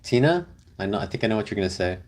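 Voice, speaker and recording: Brief: young male streamer, low-ish voice, relaxed rambling chat with fillers. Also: very fast. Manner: rambling, easygoing, many fillers. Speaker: livestream host. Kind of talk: casual livestream chat reading comments. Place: car camping, indoors with partner.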